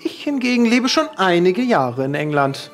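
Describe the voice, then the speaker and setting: A man's voice: high-pitched, sing-song, moderate pace, faint music behind. A man voicing a deferential, eager-to-please servant with flowery formal manners, in a tabletop audio drama. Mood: deferential, flattering. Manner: formal, servile.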